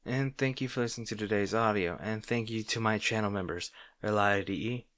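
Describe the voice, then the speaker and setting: A man with a low voice speaks as a channel host in a casual outro, reading names from a list. The recording is very dry, with little room sound. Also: fairly quick, fairly bright tone, no echo. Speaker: audio roleplay channel host.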